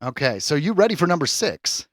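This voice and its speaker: A man's voice: a little high, sing-song, a little fast, dry recording, clean studio sound. A man hosting a pop culture quiz on a podcast in a casual, chatty style, announcing questions and verdicts theatrically. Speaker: podcast host and quizmaster.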